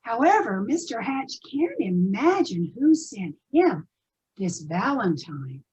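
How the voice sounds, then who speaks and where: A woman's voice: on the high side, sing-song, slow, darkish tone, slightly rough. A woman presenter giving scripted introductions to stories. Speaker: presenter introducing readers theatre pieces. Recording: dull lo-fi recording.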